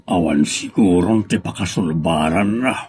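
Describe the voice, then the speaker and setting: Man acting a low, husky, troubled old father. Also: measured pace, darkish tone, dry recording. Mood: ashamed, subdued. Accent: Ilocano. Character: troubled, ashamed older father with a secret burden. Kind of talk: Filipino audio drama acting.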